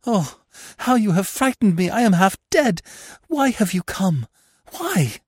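A distraught young woman, high and breathless, pleading in desperation, as a male reader puts her on. The voice swings widely as she begs, and the recording is dry and close. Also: animated, slow pace, gravelly, darkish tone, breathy edge, dry recording, exaggerated voice. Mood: frightened. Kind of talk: audiobook reading of a classic novel.

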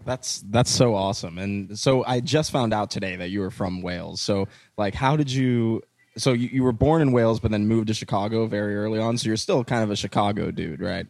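A man's voice: fairly low pitch, fairly quick, creaky, darkish tone, dry recording. A man interviewing a guest, asking friendly questions that stumble and restart as he goes. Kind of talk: casual interview chat.

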